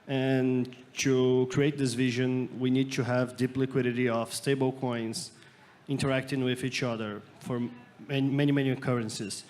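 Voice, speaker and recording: A man from Brazil speaking as a panelist at a conference, explaining his company in a measured way. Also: medium pitch, little pitch movement, a little mellow. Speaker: panelist, startup founder. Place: conference stage.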